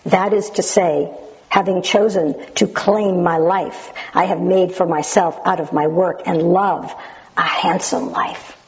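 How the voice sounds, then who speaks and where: A woman with a naturally loud voice reading a passage dramatically with up-and-down intonation, then sharing her memories in a reflective way. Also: fairly low pitch, fairly even intonation, measured pace, dull audio. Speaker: Zen practitioner sharing memories of a teacher.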